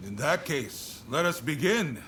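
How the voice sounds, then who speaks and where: A man's voice: a little high, lively intonation, measured pace, sharp tone, slight background noise. A theatrical, persuasive statesman, swooping delivery. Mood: commanding, courteous. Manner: formal, persuasive, declamatory. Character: shrewd, theatrical male statesman and reformer recruiting helpers. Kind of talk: dramatic video game cutscene acting. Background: music.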